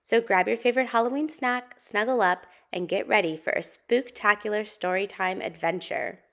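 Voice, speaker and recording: A woman's voice: mid-range voice, moderate pace, fairly bright tone. A woman hosting a children's storytime, reading and talking expressively to young listeners. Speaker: storytime host and narrator. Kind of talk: children's picture book read-aloud.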